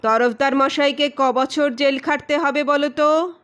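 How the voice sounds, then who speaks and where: A woman playing a commanding, sardonic male detective who makes deliberate, authoritative accusations in an audio story. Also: high-pitched, fairly even intonation, fairly bright tone. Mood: probing.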